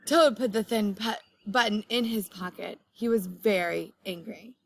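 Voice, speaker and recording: A woman reading a storybook aloud like a teacher, speaking clearly to young listeners. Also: medium pitch, a little slow, slight background noise. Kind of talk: children's storybook read-aloud.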